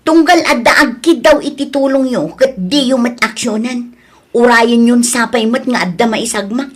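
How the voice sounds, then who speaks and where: A woman's voice: a little high, moderate pace, natural voice. A woman with an Ilocano accent playing an outspoken, scolding village woman, blunt and firm, in an audio drama. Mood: defiant. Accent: Ilocano, northern Philippines. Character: scolding, outspoken village woman who stands her ground.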